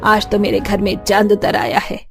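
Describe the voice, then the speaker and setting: A woman's voice: medium pitch, monotone, normal speed, gravelly, soft background music, lightly acted. A woman playing a boastful, condescending rich middle-aged mother-in-law in a cartoon story. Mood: gushing.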